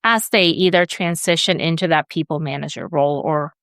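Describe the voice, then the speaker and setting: A woman's voice: low-pitched, a little fast, fairly bright tone, no echo, clean studio sound. A woman from Sweden who grew up there and now works in HR, answering interview questions in a hesitant way with many fillers and restarts. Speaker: HR guest.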